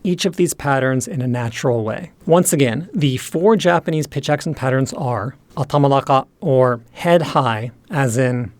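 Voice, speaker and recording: A man narrates an instructional lesson in a measured, explanatory manner. His voice sits at a middle pitch and is recorded very dry, with no room sound. Key terms are set out with careful enunciation. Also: dry recording. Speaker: teacher presenting a language lesson.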